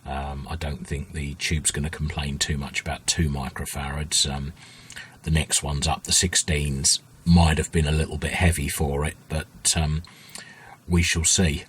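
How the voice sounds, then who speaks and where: A man giving an informal workbench tutorial as a hobbyist restorer, thinking aloud in a hesitant, unhurried way with a husky voice. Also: extremely low pitch, level tone, a bit bright, slight background noise. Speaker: hobbyist radio restorer, video host.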